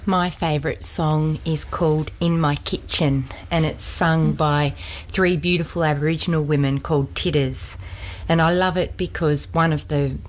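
A woman with a very low voice speaks warmly and appreciatively, sharing with the group. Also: steady tone, moderate pace. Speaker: group member. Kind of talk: sharing in a women's circle.

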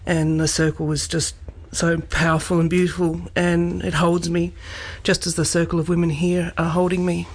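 Heartfelt hesitant woman, steady tone. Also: on the low side, normal speed, hardly any pauses, a little hiss or hum. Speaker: group member. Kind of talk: sharing in a women's circle.

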